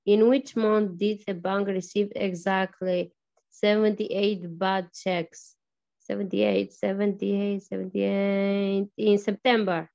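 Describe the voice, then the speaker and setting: A woman's voice: medium pitch, level tone, slow, gravelly, darkish tone, dry recording, muffled recording. A woman from Albania, a student in an online English class, reading a task aloud steadily. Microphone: video call.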